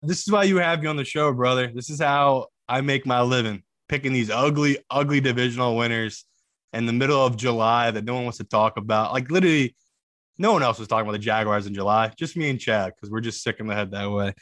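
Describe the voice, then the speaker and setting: Guy doing casual betting picks on a podcast, medium pitch, keen and informal, very dry recording. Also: fast talker, a bit bright, no room sound. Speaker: sports betting analyst and co-host. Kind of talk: casual opinionated betting commentary.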